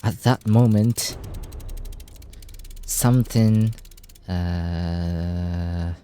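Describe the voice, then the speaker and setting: Slow, deep-voiced man. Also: mellow, clean tone. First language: Japanese. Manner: reads game text aloud and translates it, swears casually. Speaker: gaming streamer. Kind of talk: casual game playthrough commentary.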